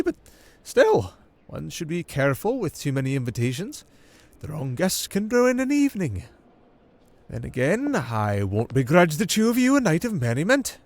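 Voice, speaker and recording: A man's voice: on the high side, no echo. A man playing a menacing older noble father and schemer in a fantasy audio drama, mock-courteous and condescending.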